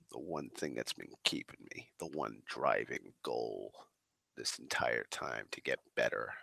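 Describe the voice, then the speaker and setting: A man rambling slowly on a stream, swearing often and sighing, a little congested as he gets over the flu. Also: very deep voice, bright, forceful tone, no room sound. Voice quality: gravelly. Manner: swears a lot, drawling, slow and sighing. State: recovering from flu, slightly congested. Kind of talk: rambling casual monologue. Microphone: desk microphone.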